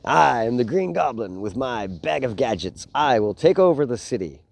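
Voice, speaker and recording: A man's voice: mid-range voice, very animated, moderate pace, gravelly, darkish tone. A man voicing a greedy, gloating green goblin villain in a read-aloud storybook.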